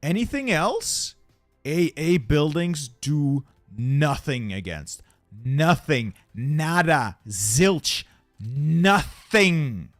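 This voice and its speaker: A man's voice: on the high side, very animated, extremely slow, no room sound, clean studio sound, soft background music. A young Dutch man narrating his strategy game play, muttering and swearing in frustration as things go wrong. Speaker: gaming YouTuber.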